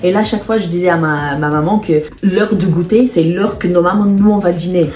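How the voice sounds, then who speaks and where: A woman's voice: fairly low pitch, a little fast, darkish tone. A woman from England with an English accent, speaking French as a second language in a casual couple chat, hesitant and searching for words. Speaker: co-host. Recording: echoey room.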